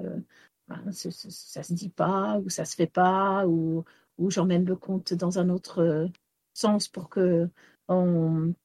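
Woman storyteller, English native speaker, halting with lots of fillers, dry close sound. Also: fairly low pitch, gravelly, darkish tone, dry recording. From Wales. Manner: hesitant, many fillers and restarts. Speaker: storyteller and singer. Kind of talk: radio interview answers.

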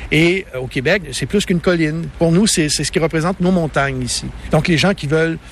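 A man from Gaspésie with a Québécois accent, explaining a national park in a relaxed interview outdoors. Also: fairly high pitch, fairly quick, a little hiss or hum. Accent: Québécois French. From Gaspésie, Quebec. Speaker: park guide.

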